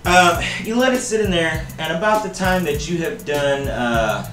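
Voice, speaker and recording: A man's voice: on the high side, expressive, moderate pace. A man in his thirties hosting a casual home cooking show, joking with viewers and calling them baby and buddy, in a home kitchen. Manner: playful, jokey, calls viewers baby and buddy. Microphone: camera mic from a few feet away. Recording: roomy kitchen with music behind.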